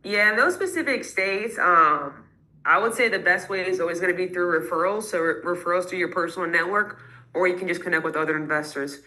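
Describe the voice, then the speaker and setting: A woman answering callers' real estate questions in a live call, casual and quick with many fillers. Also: fairly low pitch, pushed and bright, rough recording.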